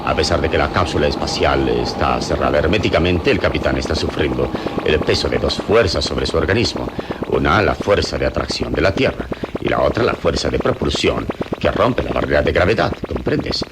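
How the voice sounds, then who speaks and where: Super deep voiced calm hero from an old radio serial, solemn and measured, very noisy recording. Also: male voice. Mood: solemn explaining. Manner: measured, solemn, didactic explaining. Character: calm, wise adult male hero, reassuring mentor. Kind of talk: old-fashioned Latin American radio serial acting.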